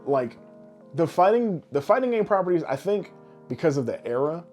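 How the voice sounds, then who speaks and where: A man's voice: on the high side, very animated, moderate pace, darkish tone. A man talking casually as a podcast host, opinionated and swearing freely. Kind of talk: casual pop-culture chat. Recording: background music under voice.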